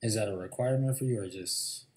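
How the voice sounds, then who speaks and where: A man's voice: fairly low pitch, fast, darkish tone. A man from San Diego on a dating show, asking laid-back, hesitant questions. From San Diego, California.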